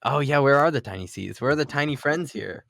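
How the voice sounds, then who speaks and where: A man's voice: mid-range voice, expressive, a little fast, creaky, a bit bright. A man in casual banter as a podcast panelist. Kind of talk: casual banter about a manga.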